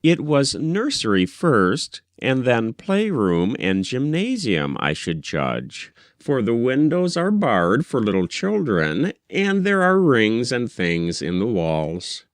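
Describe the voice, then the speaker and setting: A man reading a first-person literary story aloud as an audiobook narrator, with measured, deliberate phrasing. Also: mid-range voice, huge pitch swings, no room sound, studio quality. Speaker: audiobook reader.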